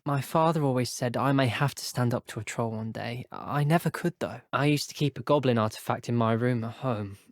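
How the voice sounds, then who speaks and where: An earnest British schoolboy wizard from a video game, played by a man, chatting in a mid-pitched teenage voice. He is well-mannered and wry, with old-fashioned phrasing. The sound is dry and close, with no room around it. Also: no room sound, close to an everyday voice. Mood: earnest, conversational. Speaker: voice actor. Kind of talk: scripted video game character voice clips.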